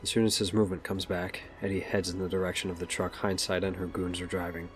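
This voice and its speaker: Low-voiced man narrating an audio drama. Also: level tone, fast, faint music behind.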